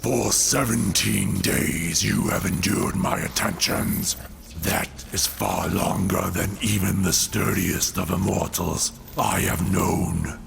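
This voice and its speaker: An actor playing a vengeful, cold alien god-killer villain in a comic-book audio drama, with gravelly, grandiose, theatrical delivery. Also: male voice, sits low, fairly even intonation, takes it slowly, a little hiss or hum, full character voice. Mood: cruel, patient. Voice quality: gravelly, creaky. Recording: loud music under the voice.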